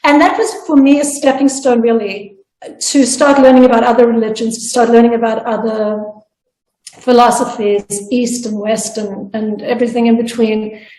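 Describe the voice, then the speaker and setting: A woman's voice: on the high side, fairly even intonation, moderate pace, warm tone, echoey room, rough recording. A woman from South Africa, speaking earnestly as a panelist and sharing her own story, often restarting her sentences. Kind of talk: personal storytelling in a panel discussion.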